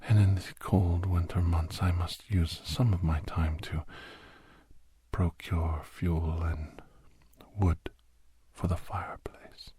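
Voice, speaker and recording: A man playing a menacing, cultured, hungry villain in an ASMR drama, slow and deliberate, in a breathy whisper. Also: low-pitched, little pitch movement, mellow, dry recording, exaggerated voice. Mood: musing, refined. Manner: slow, deliberate, halting, savoring phrases. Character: menacing, cultured captor, hungry island-dwelling werewolf villain.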